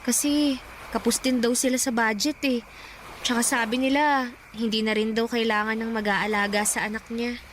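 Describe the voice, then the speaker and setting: Meek high-voiced Filipina teenage girl, acted by a woman. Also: little pitch movement, moderate pace, lightly acted. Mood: hesitant, downcast. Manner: timid, polite, apologetic. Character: meek, dutiful fifteen-year-old provincial granddaughter. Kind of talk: Filipino radio drama acting. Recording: some background noise and ambience.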